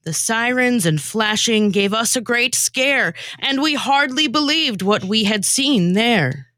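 Bright, animated woman storyteller. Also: a little high, measured pace, no echo, studio quality. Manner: theatrical storytelling. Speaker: former co-host and narrator. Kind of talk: scripted rhyming comedy narration, radio theatre.

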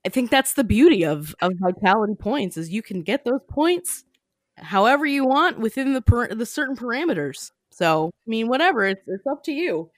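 A woman's voice: a little high, animated, normal speed, dry recording. A woman co-hosting a podcast, chatting casually and giggling, filling her talk with "like". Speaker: podcast co-host. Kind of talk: casual chat.

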